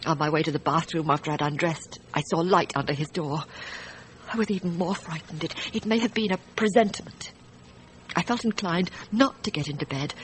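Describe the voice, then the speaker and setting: A woman playing a frightened, distressed wife in a radio drama, recounting her story in a halting, hesitant way. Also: lowish voice, slight background noise, lightly acted, low-quality recording. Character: frightened, distressed wife testifying about a night shooting.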